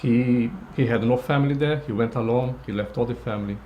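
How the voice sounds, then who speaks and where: A man in his sixties, telling a personal family story with hesitant pauses and fillers. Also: medium pitch, dull audio, a little hiss or hum. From Brazil. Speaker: interviewee.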